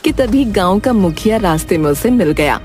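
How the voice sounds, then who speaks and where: Female storybook narrator, lively intonation, medium pitch, scripted cartoon tale over music. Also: normal speed.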